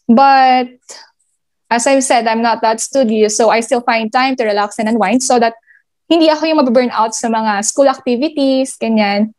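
High-voiced Filipino woman. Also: normal speed, darkish tone. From Philippines. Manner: code-switching, hesitant with fillers. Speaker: guest, gaming industry supervisor. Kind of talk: interview answers over video call. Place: indoors on a video call. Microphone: video call.

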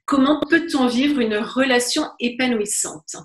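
A woman hosting a webinar speaks slowly, with a fairly high voice. Her video call audio sounds echoey and roomy. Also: slight background noise. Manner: slow, affirming, asks open questions. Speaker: webinar host. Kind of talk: interviewing a guest. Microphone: webcam on a video call.

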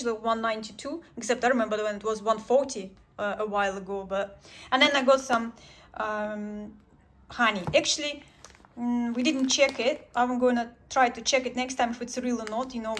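Woman chatting over her groceries, lots of ums, a bit high voice, phone mic close up. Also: fairly bright tone, a little hiss or hum. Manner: chatty, hesitant with many ums and self-corrections. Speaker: vlogger. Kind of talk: casual grocery haul chat. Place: home, indoors at a table.

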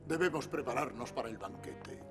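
A man's voice: mid-range voice, animated, moderate pace, music in the background, lightly acted. Man voicing a smug scheming prince. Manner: smug, commanding. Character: scheming vain prince regent. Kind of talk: cartoon dub acting.

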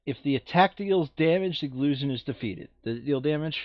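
A man's voice: fairly high pitch, expressive, fairly quick, dry recording, dull audio. A man playing a tabletop game online, switching between casual chat and reading game text aloud. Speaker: board game player reading out scenario text.

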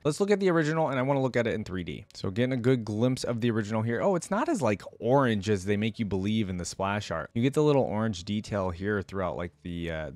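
A man's voice: lowish voice, no room sound. A young adult man gives casual, conversational game reaction commentary, thinking aloud into a close microphone. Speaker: reaction video host. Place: small indoor room at a desk. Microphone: close desk microphone with headset worn.

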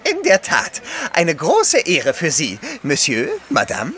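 Ein Schauspieler spielt in einem Hörspiel einen eifrigen, förmlich-höflichen Diener eines Gentlemans. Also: male voice, on the high side, wildly swooping pitch, slow, full character voice. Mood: polite surprise. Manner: courteous, formal. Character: eager, deferential French manservant of a gentleman. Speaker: actor in an audio drama. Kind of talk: German audio drama acting.

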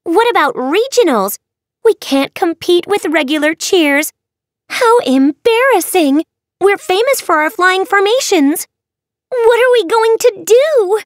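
Shrill, whiny young fairy girl. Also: lively intonation, a little slow, no room sound, clean studio sound. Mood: panicky, whining. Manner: high, wailing complaint. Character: whiny, panicky young cheerleading fairies.

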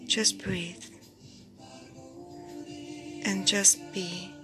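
A woman guiding a meditation in a soft, breathy, near-whispered voice, soothing and unhurried, in short phrases with pauses. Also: mid-range voice, level tone. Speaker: meditation guide. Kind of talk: guided meditation. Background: background music.

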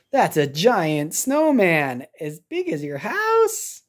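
American woman, low pitch, very lively intonation, English teacher, children's storybook read-aloud, simple expressive asides. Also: extremely slow. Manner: expressive, simple reactions and explanations for young learners. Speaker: English teacher reading a picture book aloud.

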